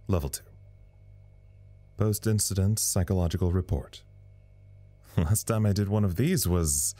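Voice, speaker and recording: An actor playing a weary, self-deprecating communications officer in an audio drama, talking in a rambling, wry way. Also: male voice, low voice, mellow, exaggerated voice. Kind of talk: audio drama recorded log monologue.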